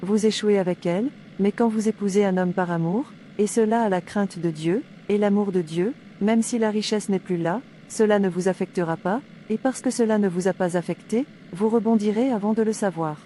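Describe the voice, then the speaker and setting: Mellow, pious young woman voiced by a woman. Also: medium pitch, a little fast, few pauses, slight background noise, lightly acted. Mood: earnest, admonishing. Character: pious, calm young woman preaching to her friend.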